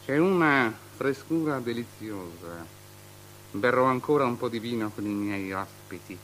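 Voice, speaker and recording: A man's voice: mid-range voice, animated, a little slow, a little hiss or hum, poor audio. A capricious, decadent drunken king. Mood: imperious. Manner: mannered, swinging from mutters and whispers to loud declaiming. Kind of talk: avant-garde theatrical radio drama acting. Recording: radio drama with music underneath.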